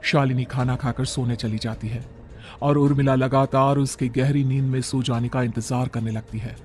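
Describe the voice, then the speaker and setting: A man's voice: medium pitch, steady tone, normal speed, slight background noise. A man reading a scripted horror story as a dramatic narrator. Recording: background music under the voice.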